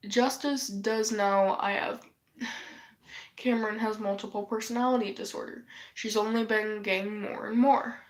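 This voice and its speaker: Slow, sighing woman losing her voice. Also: fairly high pitch. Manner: sighing, rambling, swears casually. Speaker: vlogger. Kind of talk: rambling casual vlog chat.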